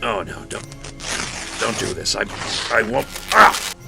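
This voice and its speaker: An actor playing a frightened, bewildered captive in a radio drama, pleading in fragments. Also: male voice, fairly low pitch, lively intonation, takes it slowly, gravelly, over loud music, full character voice, poor audio. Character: frightened, bewildered middle-aged male captive.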